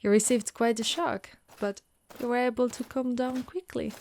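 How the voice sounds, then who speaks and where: A woman voicing a gentle, protective undead warrior woman in an ASMR monster roleplay, speaking softly and reassuringly close to the mic. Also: on the high side, steady tone, normal speed, a little hiss or hum, natural voice. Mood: shy, tender. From Italy. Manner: soft, caring, reassuring.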